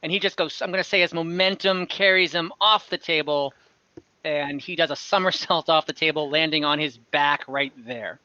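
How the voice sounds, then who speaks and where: A man runs an online tabletop game in a casual, quick way, counting out squares and describing blows. His voice is bright and a little high. The sound is dry and slightly dull. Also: steady tone, no room sound. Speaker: dungeon master.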